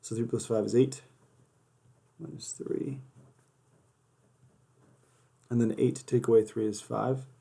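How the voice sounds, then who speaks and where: A man's voice: mid-range voice, steady tone, slow, creaky, long pauses, dark tone, breathy edge. A man teaching a math lesson, working through problems step by step in a casual way while losing his voice. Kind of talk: worked-example math lesson. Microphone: camera over a desk filming paper and hands.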